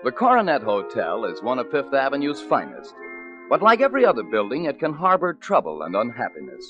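Casting: male narrator for old-time radio mystery, lively intonation, clear music bed underneath. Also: mid-range voice, brisk, muffled recording. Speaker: announcer and narrator. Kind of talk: 1940s radio scripted narration.

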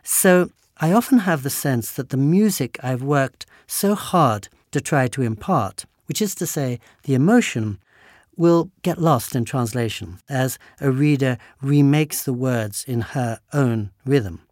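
A man in his sixties with an English accent, an author reading a prepared statement at a quick but measured cadence. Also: mid-range voice, animated, darkish tone, no room sound, studio quality. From England.

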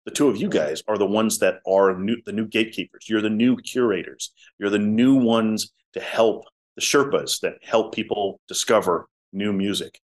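A man with a low voice, a musician telling stories in a casual interview. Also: normal speed, hardly any pauses. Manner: talkative storyteller, drops to soft tones. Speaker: musician guest.

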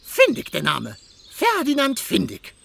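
An actor playing a quirky, eager keeper of a lost-and-found office in a children's audio play, theatrical and given to humming. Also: male voice, extremely high pitch, huge pitch swings, unhurried, gravelly, fairly bright tone, heavily acted. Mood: eccentric, proud.

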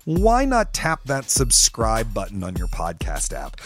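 A man's voice: on the low side, huge pitch swings, measured pace, fairly bright tone, faint music behind. A man making casual, informal podcast announcements to listeners as a host. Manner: chatty, informal.

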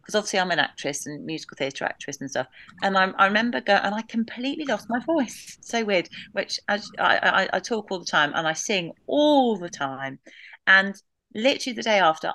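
A woman in her thirties recalling family loss in an interview, halting with many restarts, now and then laughing through it. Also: mid-range voice, fairly bright tone, no room sound. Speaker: podcast guest. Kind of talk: candid emotional interview.

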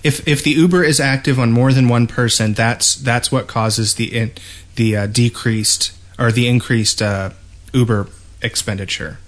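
A man talking casually as a gaming podcast host, explaining things in a hesitant, halting way. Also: medium pitch, steady tone, a bit bright.

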